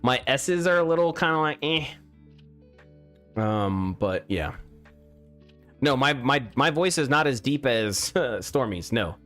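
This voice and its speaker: A man's voice: medium pitch, animated, measured pace, pauses often, fairly bright tone, soft background music. A young man chatting casually on a live stream while building a game, exclaiming at mistakes and restarting his sentences, speaking into a studio mic. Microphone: studio mic with pop filter.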